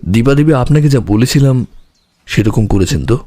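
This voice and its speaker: A man playing a sharp, intense detective in an audio story, speaking in a deliberate, dramatic way. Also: mid-range voice, animated, no room sound, lightly acted. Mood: probing, urgent. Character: sharp, intense male detective reasoning through a murder case. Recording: dramatic music bed.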